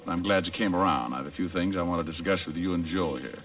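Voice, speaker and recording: A man's voice: low-pitched, fast, dry recording, lightly acted. An actor playing a cocky, flirtatious, wisecracking male private detective who narrates his own case in a vintage radio drama. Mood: cocky, defiant. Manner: flippant, slangy putdowns. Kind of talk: 1940s-50s radio detective drama, first-person narration. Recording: dull old radio recording, distant.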